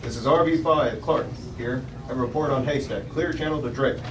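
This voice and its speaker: A man's voice: mid-range voice, moderate pace, close to an everyday voice. A man reading the part of a polite male space station radio operator, clipped and procedural. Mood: businesslike. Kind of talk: table read of a sci-fi script. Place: restaurant dining room table. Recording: distant, echoey, noisy restaurant.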